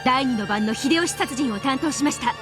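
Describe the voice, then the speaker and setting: A woman's voice: high voice, racing, over music, close to an everyday voice. An actress playing a formal female attendant making ceremonial announcements in a game. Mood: solemn, formal.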